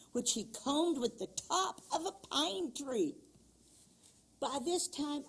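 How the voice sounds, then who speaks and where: Slow, lively woman reading a storybook. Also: mid-range voice, slightly rough. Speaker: children's story reader. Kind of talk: reading a picture book aloud. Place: church.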